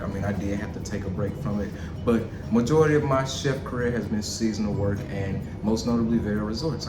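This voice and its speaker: Chatty man from Alabama, roomy echo. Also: fairly low pitch. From Auburn, Alabama. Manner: chatty, addresses viewers as y'all. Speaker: vlogger and chef. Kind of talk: casual storytime vlog. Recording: roomy echo with music behind.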